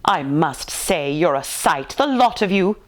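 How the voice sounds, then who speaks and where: A woman's voice: on the low side, animated, a little slow. A woman voicing a stern, proper nanny in a storybook, firm and disapproving. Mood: scolding.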